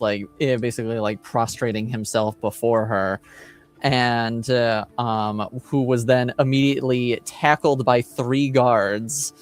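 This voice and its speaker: Man, game master, smooth clear voice, slow hesitant delivery, improvised storytelling, faint music underneath. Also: mid-range voice. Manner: halting, hesitant, searching for words. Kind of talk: improvised narration of a tabletop roleplaying game.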